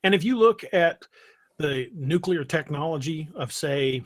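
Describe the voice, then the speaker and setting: A man with a husky voice chatting casually as a podcast host in a panel discussion. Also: a little high, lively intonation, a little slow.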